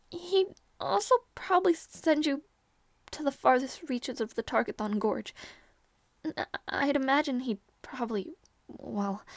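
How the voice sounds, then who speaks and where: A woman voicing a proud young princess who teases, stammers when flustered and sometimes drops to a soft whisper, in an audio roleplay. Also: high voice, dry recording.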